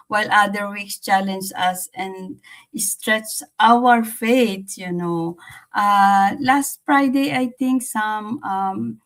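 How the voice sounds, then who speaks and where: Female livestream host, Filipino accent, very slow halting delivery, mid-pitched, casual reflective chat with repeated phrases. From Philippines.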